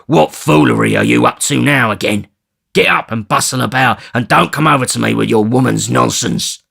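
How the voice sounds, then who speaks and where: Cursing, surly thug of a housebreaker, ill and short-tempered, as a man reads him, low creaky voice with a loud, harsh bark. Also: fairly even intonation, fast, exaggerated voice. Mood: savage, impatient. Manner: snarling, cursing, impatient. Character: sick, brutal, surly male housebreaker.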